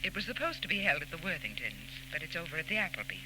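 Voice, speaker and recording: A woman's voice: sits low, big pitch swings, very fast. An actress playing a poised, flirtatious, wealthy society woman with arch, bantering wit in 1940s radio drama style. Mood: coy, bantering. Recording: noisy old 1940s radio tape.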